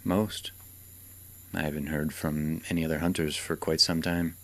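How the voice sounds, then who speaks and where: A man's voice: extremely low pitch, little pitch movement, normal speed, fairly bright tone, no room sound. A man playing a joking hunter dad. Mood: encouraging. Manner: jokey, encouraging, laughs often. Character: warm, joking father and veteran vampire hunter. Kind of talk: hobby radio drama acting.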